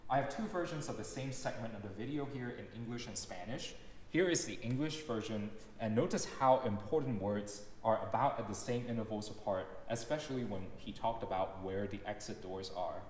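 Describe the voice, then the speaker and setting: A young man whose first language is Cantonese, giving a lecture in a measured, explanatory way, demonstrating examples aloud. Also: fairly low pitch, darkish tone. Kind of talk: lecture recital talk. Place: large recital hall. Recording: echoey hall with long reverb, faint noise.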